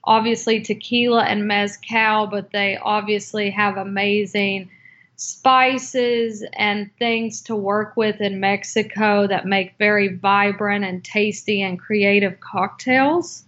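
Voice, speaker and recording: A woman's voice: medium pitch, fairly even intonation, slow, fairly bright tone. A woman answering interview questions about cocktails and her book, speaking hesitantly with many fillers and restarts. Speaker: guest, cocktail book author. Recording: dull lo-fi audio.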